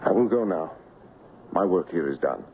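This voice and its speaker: An actor playing an honorable, lovesick stand-in king in a radio drama, speaking and narrating in an earnest, formal, measured way. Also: low voice, animated, creaky, slight background noise. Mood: resigned, earnest. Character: lovesick honorable English royal stand-in, noble and troubled. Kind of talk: radio drama acting and first-person narration. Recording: muffled vintage radio broadcast, music behind.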